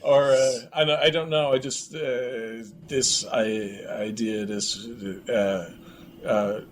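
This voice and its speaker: A man's voice: medium pitch, fairly even intonation, takes it slowly. A man talking casually in an interview, hesitantly searching for his words as he reflects. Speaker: filmmaker and sound designer being interviewed. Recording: clear background noise.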